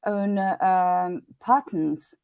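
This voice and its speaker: A woman's voice: on the low side, steady tone, drawn-out words, creaky, a little mellow, smooth, no echo. Polish-accented woman, dry close sound. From Poland. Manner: earnest, repeats words while searching for them. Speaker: guest, meditation teacher. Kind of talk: podcast interview.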